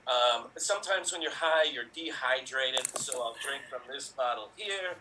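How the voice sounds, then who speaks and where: A man performing an absurd comic improv impression with an offhand, deadpan delivery. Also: mid-range voice, normal speed, distant mic, noisy background.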